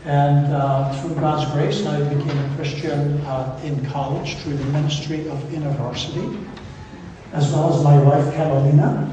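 Middle-aged man, missionary at the pulpit, earnest and halting, distant mic in a reverberant church with faint room hum. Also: a little high, mellow. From Belgium. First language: Flemish. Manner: earnest, measured, hesitant with frequent fillers. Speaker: visiting missionary speaker. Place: church sanctuary. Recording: echoey church hall from far off.

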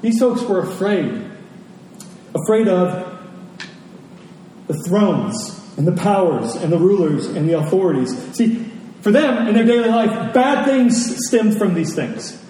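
High-voiced man preaching in an echoey hall. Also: very animated, unhurried, dark tone. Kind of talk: sermon with personal anecdotes and scripture reading. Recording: very echoey hall, distant mic, faint noise.

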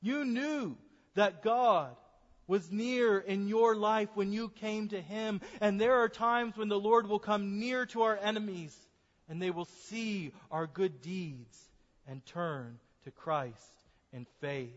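A high-voiced male pastor delivers an earnest sermon and draws out his key phrases. His voice carries a long reverb from the church sanctuary, and the recording sounds dull and muffled. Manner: earnest preaching, slows for emphasis.

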